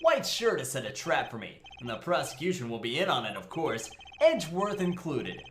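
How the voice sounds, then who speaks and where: A woman playing a wisecracking young male lawyer in a comedic game dub. The voice sits low and swings widely in pitch, sarcastic and theatrical, with a roomy sound. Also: sing-song, moderate pace, a little hiss or hum. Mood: wry, complaining. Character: young male defense lawyer, cocky, wisecracking, easily flustered.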